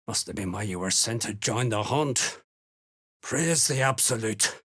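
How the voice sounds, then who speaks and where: Husky, low-voiced slaver brute. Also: male voice, expressive, measured pace, a bit bright, exaggerated voice. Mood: gruff, businesslike. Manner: curt, threatening, contemptuous. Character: gruff, menacing slaver serving a dark cult. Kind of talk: video game character dialogue.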